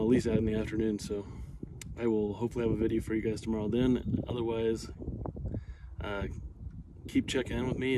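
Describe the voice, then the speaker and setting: Casual guy in his thirties, loose and rambling, phone-recorded outside with a noisy background. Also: fairly low pitch. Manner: relaxed, rambling, many fillers. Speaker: fishing channel host. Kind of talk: casual unboxing vlog. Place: outdoors in a driveway by a boat. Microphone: phone camera at arm's length. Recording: outdoor recording with noticeable noise.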